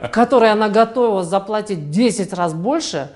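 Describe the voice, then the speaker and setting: A middle-aged woman, a fertility doctor, answering interview questions in an unhurried way with frequent hesitations. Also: medium pitch, expressive, a little mellow. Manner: unhurried, many hesitation sounds.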